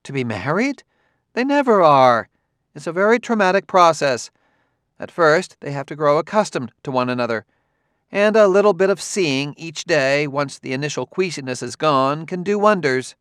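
Clarissa, a man voicing a brisk brusque woman. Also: on the high side, lively intonation, fairly bright tone, no echo, studio quality, pitched above the performer's own voice. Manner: crisp, matter-of-fact, easily exasperated. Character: brisk brusque woman who runs a children's farm. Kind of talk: audiobook character voice.

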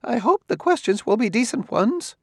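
A man voicing a nervous, fussy aging male sociologist who shrinks from company, in a high, hesitant way. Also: sing-song, normal speed, darkish tone, slightly rough, dry recording, clean studio sound, exaggerated voice. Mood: uneasy.

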